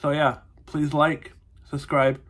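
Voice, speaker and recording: A man's voice: fairly high pitch, little pitch movement, slow pace, fairly bright tone. Congested young man rambling to camera. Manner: rambling, heavy on fillers and "honestly". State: congested, nasal from a cold. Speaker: vlogger. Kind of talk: casual vlog update. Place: small room at home, indoors. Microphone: phone or webcam close to the face.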